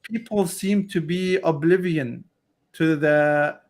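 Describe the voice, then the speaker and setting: A man's voice: fairly high pitch, takes it slowly. A man hosting a podcast who asks questions and comments earnestly, often restarting his sentences. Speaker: podcast host.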